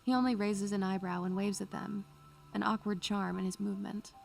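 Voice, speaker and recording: A woman playing a wistful, curious young student who narrates an audio drama in measured, poetic phrases. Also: mid-range voice, little pitch movement, vocal fry. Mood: wistful, hushed. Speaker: narrator and lead character. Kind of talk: first-person audio drama narration and dialogue. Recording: music under the voice.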